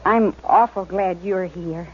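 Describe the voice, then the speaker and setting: A woman's voice: on the low side, takes it slowly, a little hiss or hum. A woman playing a timid teenage rancher's daughter with a rural American accent, hesitant and deferential, in 1950s radio western style. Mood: grateful. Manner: hesitant, deferential, simple country speech. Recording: dull old radio recording.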